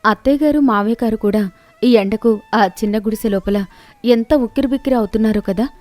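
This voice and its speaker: A kind young village wife, acted out by a woman storyteller in a moral tale. She speaks in a high voice, earnest and respectful, with a close, dry sound. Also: brisk, a little mellow, dry recording, faint music behind, natural voice. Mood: gentle, reassuring. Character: kind, resourceful young village daughter-in-law, patient and hardworking.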